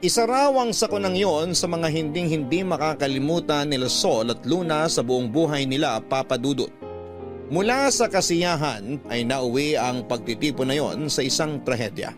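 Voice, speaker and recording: A man's voice: on the high side, animated, moderate pace. A man with a Filipino accent narrating a scripted dramatic story as a radio drama storyteller. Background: background music.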